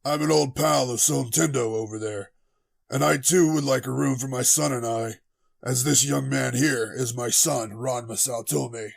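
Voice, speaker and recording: A man playing a hearty, folksy middle-aged father with a gravelly voice in an audio drama. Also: medium pitch, steady tone, normal speed. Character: gruff, jovial middle-aged martial-artist father. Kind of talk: fan audio drama acting.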